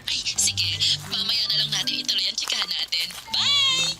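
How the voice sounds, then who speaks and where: A woman's voice: medium pitch, normal speed, heavily acted. An actress playing a nosy, teasing older woman, the gossiping best friend in a radio drama. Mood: teasing, curious. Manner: inquisitive, animated gossip. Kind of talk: Tagalog radio drama dialogue. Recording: loud music bed under voice.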